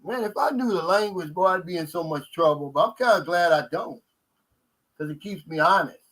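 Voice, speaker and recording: A middle-aged man hosts a live stream, chatting in a relaxed, folksy way. His pitch sits rather high for a man. He reads viewer questions aloud and answers them casually. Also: brisk, poor audio. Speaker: host.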